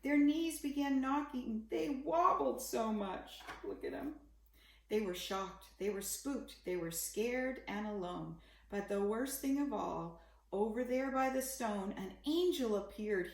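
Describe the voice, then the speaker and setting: A woman's voice: fairly high pitch, lively intonation, takes it slowly, creaky, a little mellow, reverberant. A woman reading a rhyming children's Bible story aloud in a simple, dramatic way, as if to a young child.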